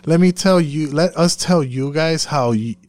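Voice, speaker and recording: A man's voice: fairly high pitch, a little slow, a little mellow, no echo. A man from Puerto Rico in a casual, profane discussion, speaking emphatically and often circling back to repeat himself.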